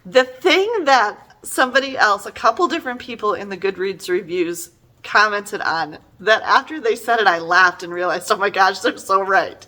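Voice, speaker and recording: A middle-aged woman giving a casual, conversational book review in an animated, exasperated and emphatic manner. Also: mid-range voice, moderate pace.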